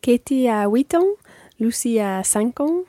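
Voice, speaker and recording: Actress as friendly working mother, radio play for learners: very soft mellow tone, dry sound. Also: fairly high pitch, lively intonation, unhurried, dry recording. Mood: conversational. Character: friendly working mother chatting over dinner. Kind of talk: scripted language-course radio play.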